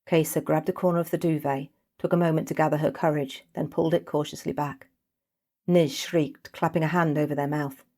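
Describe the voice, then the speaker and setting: A woman's voice: deep voice, level tone, brisk, a little mellow. A woman reading a scripted fantasy short story as its narrator.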